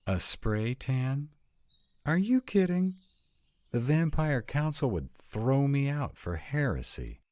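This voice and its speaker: A man's voice: mid-range voice, big pitch swings, slow pace, a little mellow, no echo. A man playing a wry, sarcastic young vampire in a scripted roleplay audio drama. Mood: sarcastic, incredulous.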